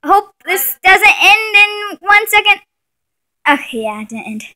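Young woman, very high pitch, bright tone, casual playful home-video commentary. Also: big pitch swings, a little slow. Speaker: person filming and commenting. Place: living room at home, indoors. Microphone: phone held by the speaker.

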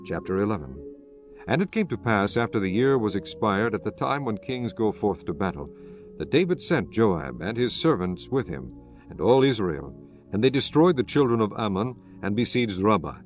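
A man with a fairly low voice narrates scripture. His delivery is measured and solemn, as a scripted reading, and music plays clearly behind him. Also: sing-song.

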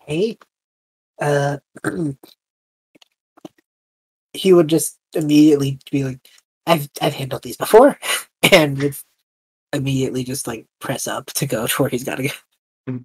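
A woman's voice: rumbling low, steady tone, measured pace, pauses often, breathy edge, low-quality recording. A woman casually describing her character's actions as a player in a tabletop game, loose and conversational. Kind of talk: casual improvised play narration.

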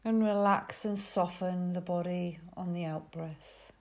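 A woman leads a guided yoga nidra meditation in a fairly low voice. Her delivery is very slow and soothing, with short instructions set apart by pauses. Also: fairly even intonation, a little mellow.

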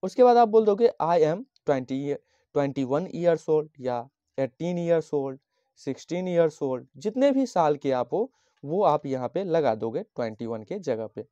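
Man from Bihar, tutor type, slow patient explainer, mellow tone, dry dull lo-fi sound. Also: on the high side, sing-song, no echo. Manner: slow, patient, repeats each phrase and dictates English lines. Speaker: teacher. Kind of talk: handwritten English lesson explained in Hindi. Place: at a desk, writing on a notebook page.

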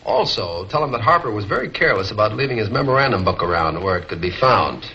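Fast-talking commanding man, old serial. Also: on the low side, expressive, slight background noise. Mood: businesslike. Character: brisk newspaper publisher and masked crime fighter, decisive man. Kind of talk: 1940s film serial acting. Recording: muffled 1940s serial soundtrack, loud music.

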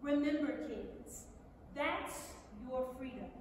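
Woman acting earnest librarian onstage, slow and emphatic, big echoey hall. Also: sits high, lively intonation, darkish tone. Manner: emphatic, deliberate. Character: earnest, resolute school librarian defending freedom to read. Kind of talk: theatrical monologue, staged reading. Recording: echoey theatre hall, distant, faint noise.

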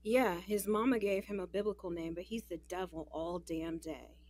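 A woman's voice: on the low side, steady tone, moderate pace, darkish tone, dry recording, natural voice. An actress playing a guarded, exasperated young working woman in an audio drama, frank and wry.

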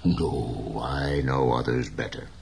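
A man voicing a poor but proud, gentle old fisherman who speaks plainly and slowly. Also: very deep voice, fairly even intonation, gravelly, breathy edge, pitched below the performer's own voice, exaggerated voice. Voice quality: slow, plain, dignified speech.

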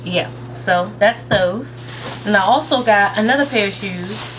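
A woman's voice: mid-range voice, measured pace, a bit bright, slight background noise, poor audio. A young woman chatting casually and upbeat to viewers about her shopping haul, recorded on a webcam in her bedroom. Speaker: haul vlogger. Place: bedroom, indoors. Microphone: webcam at desk distance.

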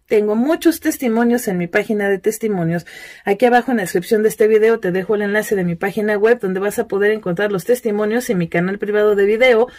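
A Mexican woman chats her way through a spell tutorial, rattling off lists of promises. Her voice sits at an ordinary middle pitch, recorded close in a small room. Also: a little mellow. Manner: chatty, list-like, selling her services. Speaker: self-styled witch giving spell tutorials. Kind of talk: casual spoken tutorial and self-promotion. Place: indoors at a cluttered altar table.

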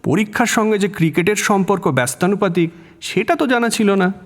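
A man's voice: high-pitched, fairly quick, close to an everyday voice. A man playing a cheeky, dreamy young student in love in a romantic audio drama, with a Kolkata Bengali accent. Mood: playful. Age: young adult. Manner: playful, teasing, whimsical. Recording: long reverb, music bed.

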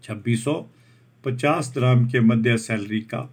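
A man reads out a job advertisement over a document, slowly restating each English term in Hindi. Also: medium pitch, darkish tone. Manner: slow, deliberate, restates each point in Hindi after English terms. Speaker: job vacancy channel host.

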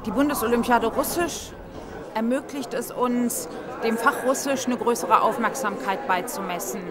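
Frau spricht ernsthaft vor Stimmengewirr. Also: female voice, on the high side, moderate pace, a loud crowd. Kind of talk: interview statement. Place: large hall, people passing.

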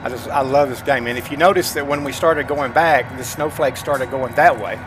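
A man's voice: medium pitch, normal speed, background noise. A middle-aged man giving casual, folksy gameplay commentary, chatting informally to his viewers. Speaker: gaming streamer. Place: indoors at a computer desk, webcam.

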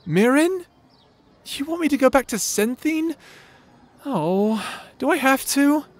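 A man's voice: very high-pitched, expressive, takes it slowly, full character voice. A man playing a timid, lovesick young adventurer in an audio drama, stammering, hesitant and apologetic. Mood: flustered, nervous. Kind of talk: audio roleplay drama acting.

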